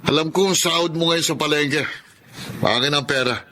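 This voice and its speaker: A man's voice: on the high side, normal speed, pushed and bright, a little hiss or hum. A man playing a violent, greedy stepfather in a radio drama, threatening and taunting as he barks demands. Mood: angry, demanding. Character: violent, bullying stepfather with vices, greedy and menacing. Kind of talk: Tagalog radio drama acting.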